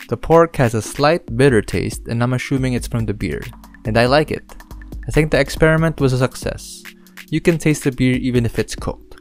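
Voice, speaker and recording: A man from the Philippines walks viewers through a home recipe in voice-over. He speaks in a relaxed, instructional way with occasional dry jokes, and faint music plays behind him. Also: medium pitch. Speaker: cooking channel host. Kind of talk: voice-over cooking narration.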